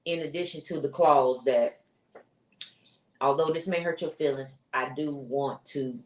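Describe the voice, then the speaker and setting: A woman with a loud, strong voice, chatting bluntly and emphatically as a podcast co-host. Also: sits low, measured pace. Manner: blunt, emphatic, repeats herself. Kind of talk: casual chat. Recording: echoey room, far from mic.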